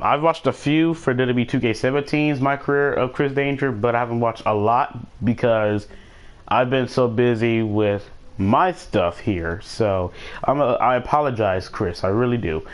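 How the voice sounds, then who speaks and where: A man chatting casually as he hosts a live gaming stream and commentates on the action, often repeating phrases for emphasis. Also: medium pitch.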